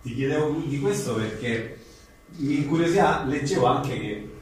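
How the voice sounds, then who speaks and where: A man interviewing a guest informally, phrasing his questions in a halting, thoughtful way. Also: medium pitch, lively intonation. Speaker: interviewer presenting a book. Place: echoing indoor venue at a live book presentation. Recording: very echoey room, distant mic, faint noise.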